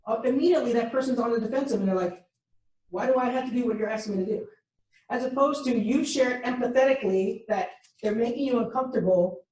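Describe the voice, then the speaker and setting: A woman's voice: medium pitch, darkish tone. Female instructor, patient explainer, distant mic, echoey room. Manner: explains patiently, acting out example dialogue. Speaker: workshop instructor. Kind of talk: interactive teaching session.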